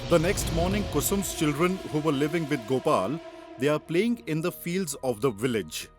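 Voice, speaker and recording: A man reads a scripted children's tale as narrator. His voice sits fairly high for a man. Music plays clearly underneath him. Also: lively intonation, normal speed.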